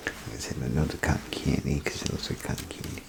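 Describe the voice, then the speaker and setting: A man playing a chatty, folksy bathroom attendant in a soft ASMR role play, speaking in a gravelly, breathy half-whisper with rambling, hesitant phrasing. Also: extremely low pitch, steady tone, fast talker, exaggerated voice. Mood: chatty, explaining. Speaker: ASMR performer. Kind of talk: ASMR role play, softly spoken to the listener. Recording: close ASMR recording, clear background noise.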